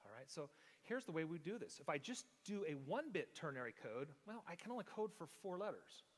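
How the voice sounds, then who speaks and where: A man's voice: on the high side, big pitch swings, fairly quick. A man preaching a teaching sermon, explaining step by step and often checking in with the congregation. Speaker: pastor. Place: church sanctuary. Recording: long hall reverb.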